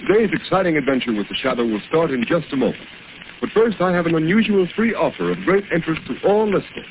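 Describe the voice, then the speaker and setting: A man's voice: on the high side, big pitch swings, quick pace. A man announcing in a dramatic, emphatic scripted style on old radio. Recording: noisy tinny old radio recording.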